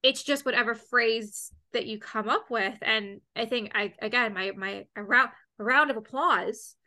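Bright, high-voiced woman host. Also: moderate pace. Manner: chatty, expressive, repeats words when starting. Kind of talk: casual interview chat.

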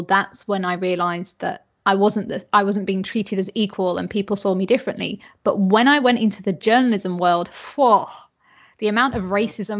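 Young woman chatting, lots of likes, bit muffled sound. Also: medium pitch. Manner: animated, chatty, many fillers and "like"s. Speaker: guest. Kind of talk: casual conversation.

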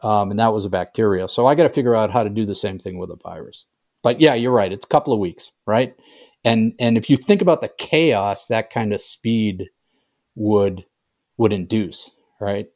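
Older man, low-pitched, talking as guest. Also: normal speed, darkish tone. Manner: conversational, often checks with "right?". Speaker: author being interviewed. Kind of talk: casual interview chat.

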